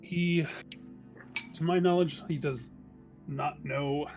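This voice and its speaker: Slow-paced man, halting delivery. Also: a little high, fairly even intonation, darkish tone, soft background music. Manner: halting, searching for words. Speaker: tabletop game player. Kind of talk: character introduction in an online tabletop game.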